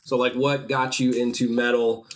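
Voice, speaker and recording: A man's voice: mid-range voice, little pitch movement, moderate pace. A man hosting a casual podcast in a laid-back, laughing way with lots of fillers. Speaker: podcast host. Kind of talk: casual chat.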